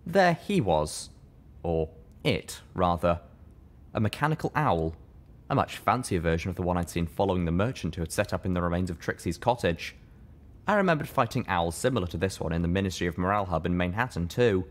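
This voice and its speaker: Very deep-voiced male story narrator. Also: animated, brisk. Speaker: fan fiction reader, narrator. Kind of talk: scripted first-person story narration.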